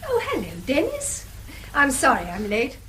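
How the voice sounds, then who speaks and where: An actress playing a restrained, wistful middle-aged English wife in a radio drama, with a breathy, husky edge. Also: female voice, high voice, huge pitch swings, moderate pace, pushed and bright, slight background noise, full character voice. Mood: polite, concerned.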